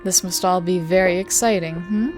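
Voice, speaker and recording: A woman playing a composed, courteous character in an audio drama, gently coaxing as she speaks. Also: fairly low pitch, steady tone, moderate pace, music in the background, natural voice.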